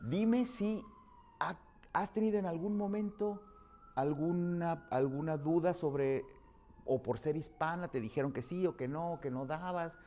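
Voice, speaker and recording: A man's voice: on the high side, a little slow, dark tone, a little hiss or hum. A man hosting an interview in a restaurant, asking questions expressively with lots of repeated words. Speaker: talk show host. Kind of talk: hosted interview.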